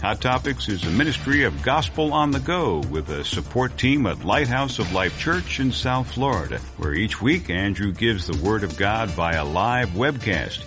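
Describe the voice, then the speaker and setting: A man reading a scripted radio program announcement in a smooth, even read. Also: fairly low pitch, normal speed, hardly any pauses. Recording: voice-over with music bed.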